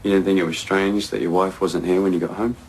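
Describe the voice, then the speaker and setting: Low, probing police detective played by a man for radio drama, slight background noise. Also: fairly even intonation, fast, darkish tone, poor audio. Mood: probing, businesslike. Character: steady, probing male British police detective.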